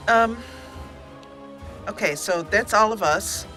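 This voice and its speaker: A female let's play host talks casually through her game. Her voice is bright and medium in pitch, chatty and thinking aloud, with quiet music underneath. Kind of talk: casual gameplay commentary.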